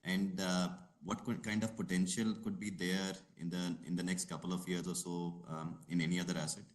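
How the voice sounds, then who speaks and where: A man's voice: deep voice, level tone, moderate pace. A man calling in as an analyst, putting detailed questions in tentative, hedged phrasing. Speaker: equity analyst caller. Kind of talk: asking questions on an earnings call.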